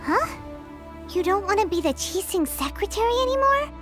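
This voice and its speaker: A squeaky little companion sprite. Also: female voice, big pitch swings, moderate pace, music in the background. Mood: curious, eager. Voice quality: high, childlike and bouncy. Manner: talks of herself in the third person. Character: tiny floating female companion, excitable and chatty. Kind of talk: game dialogue.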